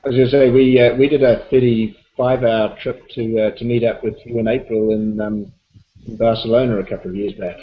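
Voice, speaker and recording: A man from New Zealand on a group video call, friendly and joking, halting with fillers. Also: mid-range voice, level tone. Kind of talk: casual group video chat. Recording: slightly dull call audio.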